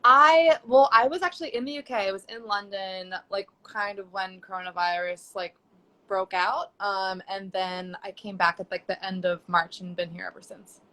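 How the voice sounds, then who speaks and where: A woman's voice: mid-range voice, fairly even intonation, normal speed, pushed and bright. A young woman chatting casually as a guest on a live video call, talking loosely with many fillers. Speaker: guest sex educator. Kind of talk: casual chat on a live stream. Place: home.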